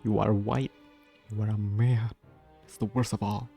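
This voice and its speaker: A man's voice: lowish voice, flat delivery, normal speed, gravelly, warm tone, breathy edge. A man giving casual, exclamatory live reactions to a video game, with sassy interjections. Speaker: gamer reacting to a game playthrough. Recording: game music behind the voice.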